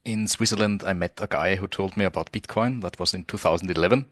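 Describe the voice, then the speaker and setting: A middle-aged man from Austria, a guest on a podcast interview, explaining in a relaxed way with many fillers and repeated words. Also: sits low, fast, dry recording, studio quality. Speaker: guest, tech company founder.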